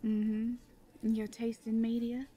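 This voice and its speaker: An actress playing a teasing, encouraging woman confidante, coaxing, playful and often hushed, in a conversational audio drama. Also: a little high, completely flat delivery, slow.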